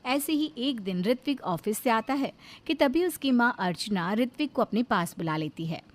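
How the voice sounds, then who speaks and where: A woman's voice: a little high, lively intonation, moderate pace. A woman reading scripted narration for a cartoon story.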